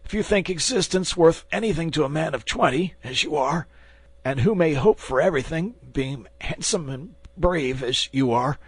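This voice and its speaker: A man's voice: lively intonation, normal speed, breathy edge, no room sound. A man voicing a wounded, terrified soldier who begs for his life. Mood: wheedling. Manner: pleading, high and strained.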